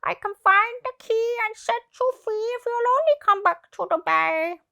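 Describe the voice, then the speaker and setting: Shrill, urgent little seagull. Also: female voice, moderate pace, vocal fry, sharp tone, heavily acted. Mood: urgent, coaxing. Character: frantic seagulls crying out a warning. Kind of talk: children's picture book character voice.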